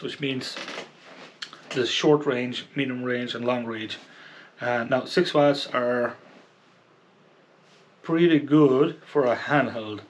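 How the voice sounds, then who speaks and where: Slow, halting man explaining gear. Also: mid-range voice. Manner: halting, explanatory, often checks with "okay?". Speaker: fishing channel presenter reviewing gear. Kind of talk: casual unscripted product review.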